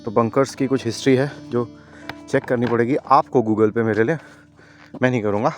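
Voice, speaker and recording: A man from India talks through his hike as a travel vlogger, speaking chattily and directly to his viewers. His voice sits at an ordinary middle pitch, picked up outdoors on a handheld camera. Soft music plays faintly underneath. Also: steady tone, moderate pace. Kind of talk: casual travel vlog narration. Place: outdoors on a mountain trail.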